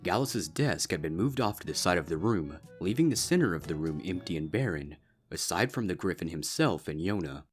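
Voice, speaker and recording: A man's voice: sits low, lively intonation, fairly quick, soft background music. A man reading a scripted fan fiction story aloud as its narrator.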